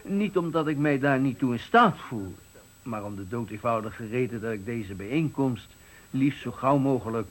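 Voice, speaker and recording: A man's voice: on the high side, poor audio. A man playing an older retiring worker, dignified and frank, speaking formally and pointedly in a Dutch radio play.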